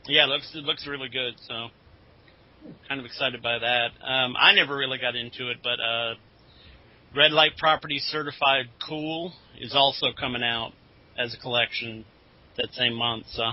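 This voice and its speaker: A slightly muffled, lo-fi recording carries a man's mid-pitched voice. He talks as a podcast co-host in loose, informal conversation, with a fan's enthusiasm. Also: fairly even intonation, normal speed. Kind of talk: casual chat about comics. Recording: dull, lo-fi podcast audio.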